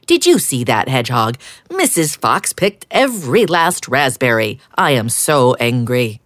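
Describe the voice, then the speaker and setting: A woman's voice: very deep voice, very animated, unhurried, dry recording, clean studio sound, heavily acted. A woman voicing a grumpy, complaining male duck in a storybook reading, grumbling and indignant.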